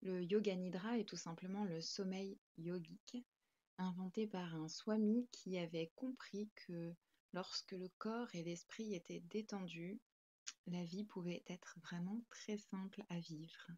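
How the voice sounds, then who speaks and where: A woman guiding a yoga nidra meditation in a calm, gentle, soothing manner with spaced instructions. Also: medium pitch, dull audio.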